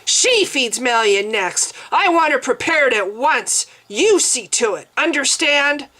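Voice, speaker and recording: A woman's voice: high voice, lively intonation, takes it slowly, pushed and bright, voice pushed up, full character voice. A woman voicing a cruel, heartless male priest who mocks and gives curt orders. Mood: cold commanding. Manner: mocking, sneering.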